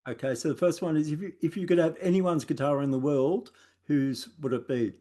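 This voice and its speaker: A man's voice: fairly high pitch, steady tone, brisk, warm tone. An Australian man hosting an interview, asking questions in an easygoing way with many small hesitations. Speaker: interviewer, host.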